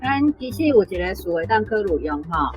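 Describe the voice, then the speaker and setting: A woman's voice: mid-range voice, sing-song, a little fast, poor audio. Taiwanese woman teacher explaining over faint music. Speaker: language teacher. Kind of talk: conversational explaining.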